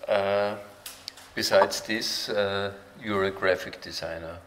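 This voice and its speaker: A man's voice: on the low side, steady tone, takes it slowly, fairly bright tone. A man hosting a live talk event, introducing presenters in a hesitant way as he searches for words. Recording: noisy live event room.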